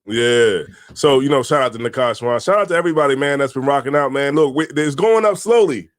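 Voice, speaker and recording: A man from Delaware co-hosting a casual podcast, talking in a relaxed, halting way with fillers. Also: medium pitch, noisy background. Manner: relaxed, halting with fillers, gets loud when joking. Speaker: podcast co-host. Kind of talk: casual chat.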